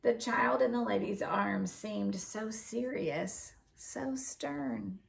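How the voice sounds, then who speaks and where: Female storybook narrator, very gravelly fry, unhurried and expressive delivery. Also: medium pitch. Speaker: storybook reader. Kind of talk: scripted narration, children's story read aloud.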